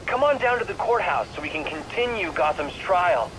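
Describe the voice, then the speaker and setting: A man's voice: high voice, sing-song, normal speed, fairly bright tone, slight background noise, poor audio. A man playing a smug young anarchist bomber in a video game, taunting with theatrical politeness. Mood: smug, intrigued.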